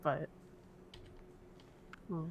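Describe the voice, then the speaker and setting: A woman improvising in a roleplay game, speaking haltingly and repeating words. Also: slight background noise.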